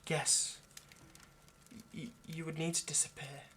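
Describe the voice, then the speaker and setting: A man's voice: on the high side, level tone, unhurried, a little hiss or hum. A man playing an earnest, lovestruck vampire in an intimate roleplay monologue, speaking hesitantly and persuasively. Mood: solemn, cautioning. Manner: hesitant, stammering, gently persuasive. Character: earnest, lovestruck male vampire coaxing a partner.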